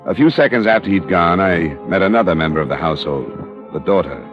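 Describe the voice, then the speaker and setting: Hard-boiled American private investigator, very low voice, terse, vintage radio sound with some music. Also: male voice, animated, fast talker. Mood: dry, matter-of-fact. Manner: clipped, dry, matter-of-fact. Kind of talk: 1950s radio detective narration and dialogue. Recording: dull vintage radio recording.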